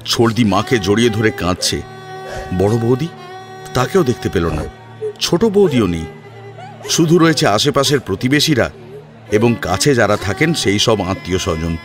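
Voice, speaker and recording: A man narrating a dramatic audio story in an emotive, theatrical way. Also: fairly low pitch.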